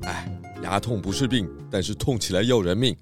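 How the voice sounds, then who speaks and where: A man playing a composed, astute pig detective, questioning deliberately in a children's radio drama. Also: fairly low pitch, faint music behind, close to an everyday voice. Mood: composed, probing.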